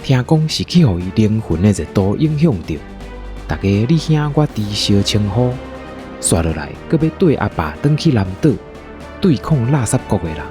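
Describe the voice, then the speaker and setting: Male storyteller, Taiwanese accent, for audio drama narration: lowish, husky, lively intonation over faint music. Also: moderate pace.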